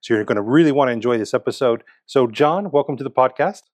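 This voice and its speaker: A man's voice: mid-range voice, quick pace, dry recording, clean studio sound. A man hosting a podcast, introducing his guest and asking questions in an upbeat, welcoming way. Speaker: podcast host.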